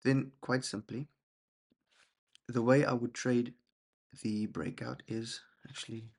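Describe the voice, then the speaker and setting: A young man, a husky voice and a rambling, self-deprecating manner, walking through trades and often checking in with "okay?". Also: mid-range voice, fairly even intonation, slow pace. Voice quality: gravelly, husky. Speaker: trading channel host. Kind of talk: casual screen-share trading walkthrough.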